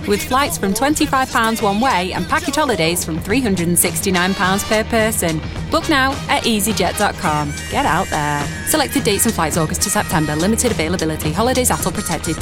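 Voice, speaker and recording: A woman voicing a playful radio advert. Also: mid-range voice. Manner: bright, playful. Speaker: advert voice-over.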